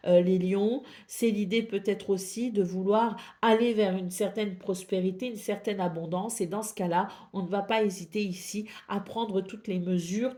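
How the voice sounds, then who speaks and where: A woman's voice: medium pitch, mellow. French woman with a cold reading tarot cards. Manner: hesitant, many fillers and repeated maybe. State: has a head cold. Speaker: tarot reader. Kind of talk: weekly horoscope card reading.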